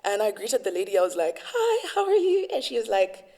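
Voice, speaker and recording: Animated young South African woman. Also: a little high, brisk. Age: young adult. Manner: animated storytelling, acts out quotes. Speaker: university student guest. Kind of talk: casual interview chat.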